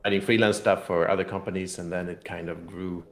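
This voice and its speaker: A man with a Swedish accent answering interview questions casually, haltingly restating phrases as he explains. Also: deep voice, level tone, creaky. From Sweden. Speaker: guest, game designer and company head. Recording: echoey room sound.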